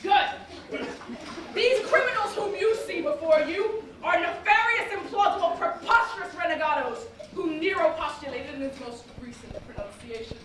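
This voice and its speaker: A woman's voice: very high voice, normal speed, fairly bright tone. A woman playing a pompous, fawning Roman official, declaiming grandly in a theatrical staged reading. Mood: haughty, suspicious. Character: pompous Roman official, bombastic, word-mangling, fawning on the emperor. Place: echoey performance space, staged reading. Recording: distant mic, echoey hall, noisy.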